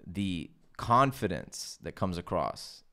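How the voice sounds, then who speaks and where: Low-voiced man from San Diego. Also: sing-song, slow pace, bright, forceful tone. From San Diego, California. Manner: storytelling, rambling with fillers. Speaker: comedian guest. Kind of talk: casual podcast chat.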